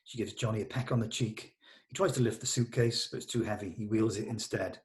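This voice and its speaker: A man's voice: on the low side, steady tone, a little fast. A man leading a script read, narrating stage directions in an informal, matter-of-fact, slightly hesitant way. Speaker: table read leader and narrator.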